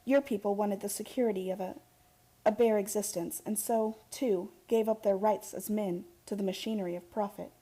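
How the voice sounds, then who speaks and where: An actress playing an earnest young heiress in a radio drama, with urgent, impassioned delivery. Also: female voice, mid-range voice, fairly even intonation, normal speed, mellow, slightly rough, close to an everyday voice. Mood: tender, fervent.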